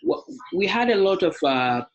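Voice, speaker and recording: A man's voice: high voice, very animated, moderate pace, highs cut off. A young man from Ghana, president of an alumni association, giving a webinar talk with many fillers and restarts. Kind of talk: webinar presentation.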